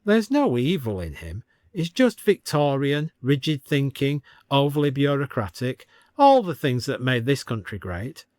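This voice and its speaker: A man's voice: medium pitch, very animated, measured pace, a little mellow, dry recording, studio quality. A man voicing a wry village shopkeeper with a persuasive manner in a story reading.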